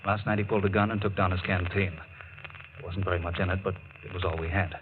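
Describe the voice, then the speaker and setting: An actor plays an earnest man, protective yet secretive. His voice is low and emphatic in 1940s radio drama fashion, on a muffled recording with faint hiss. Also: steady tone, fast, natural voice.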